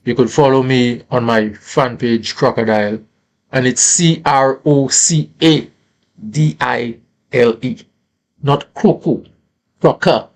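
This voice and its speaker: A man's voice: mid-range voice, fairly even intonation, takes it slowly. A man with a Saint Lucian accent, a musician talking casually in an interview in Caribbean creole-flavoured English, often tagging phrases with "you know".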